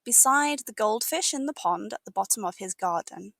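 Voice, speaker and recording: A British woman reading a storybook aloud as an English teacher, with expressive, deliberate narration for learners. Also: medium pitch, gravelly, dry recording, studio quality.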